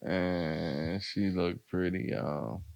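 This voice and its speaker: A man's voice: sits low, steady tone, very slow, creaky, a little mellow, dry recording, dull audio. A man co-hosting a podcast in casual banter, with a joking, blunt, slangy way of talking. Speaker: podcast co-host.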